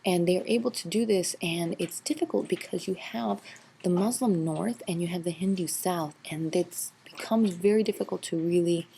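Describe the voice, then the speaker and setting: A woman with a low, gravelly voice narrates a history review over slides in a patient, explanatory teaching manner. She fills her pauses with ums and uhs. A faint hiss sits under the recording. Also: warm tone. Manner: explanatory, filler-heavy with frequent um and uh.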